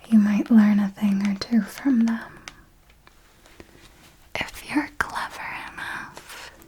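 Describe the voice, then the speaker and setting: A woman's voice: medium pitch, a little mellow. A woman voicing an elegant, possessive vampire mentor in an ASMR roleplay, whispering breathily and formally, close to the microphone. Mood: fond, condescending. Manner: slow, deliberate, formal, intimate. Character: elegant female vampire mentor, possessive, fond, calmly commanding.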